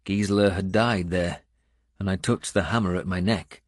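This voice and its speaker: A man reading a scripted first-person audiobook in a measured storytelling manner. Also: sits low, steady tone, dry recording, clean studio sound. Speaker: audiobook narrator.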